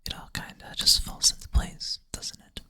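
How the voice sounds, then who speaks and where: ASMR whisperer, sleepy and self-deprecating, bright airy close-mic whisper. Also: moderate pace. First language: Swedish. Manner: soft whisper, thinking aloud, self-deprecating. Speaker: ASMR creator. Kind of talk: whispered ASMR puzzle play-through.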